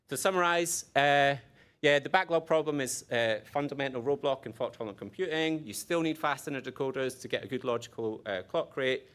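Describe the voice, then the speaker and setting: A man with an Edinburgh Scottish accent giving a technical conference talk. He often restarts phrases and checks in with the audience. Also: medium pitch. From Scotland. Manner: animated, restarts phrases, checks in with "right?" and "okay?". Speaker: conference speaker.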